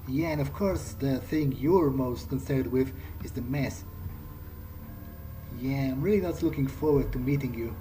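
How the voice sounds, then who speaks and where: A man's voice: medium pitch, unhurried, warm tone, a little hiss or hum, rough recording. A man giving casual, in-character commentary as he plays a game, musing in a wry, sweary way. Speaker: let's player. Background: game music.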